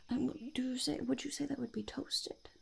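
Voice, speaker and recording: A woman voicing an eccentric, self-important goblin in a whispered ASMR roleplay, breathy with creaky edges, fussy, hesitant and repetitive. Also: sits high, fairly even intonation, normal speed, darkish tone, exaggerated voice. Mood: curious, finicky. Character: eccentric, self-important goblin overlord interviewing a would-be sidekick.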